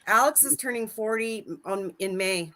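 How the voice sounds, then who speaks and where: A woman's voice: fairly high pitch, moderate pace, sharp tone. A woman in her forties, a guest on a livestream, chatting casually and laughing as she tells stories about friends. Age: 40s. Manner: chatty, laughs often, storytelling.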